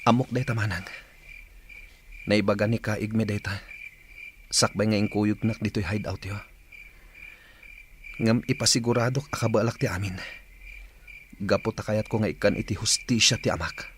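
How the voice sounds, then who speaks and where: A man's voice: lowish voice, fairly even intonation, moderate pace, long pauses, a little hiss or hum, close to an everyday voice. A man with an Ilocano accent playing a determined, respectful young recruit in a radio drama. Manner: earnest, respectful. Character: determined young male recruit seeking justice.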